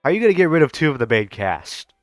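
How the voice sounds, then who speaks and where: A man's voice: on the high side, very animated, fast talker, no room sound, studio quality, soft background music. A young adult man giving casual, slangy reaction commentary on a game, with frequent swearing. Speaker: streamer reacting to a game story.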